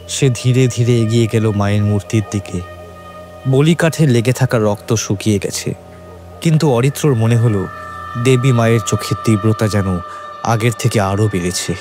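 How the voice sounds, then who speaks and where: A male narrator tells a horror tale in a mid-pitched voice. The delivery is scripted and dramatic, with light music underneath. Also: darkish tone. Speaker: story narrator. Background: background music.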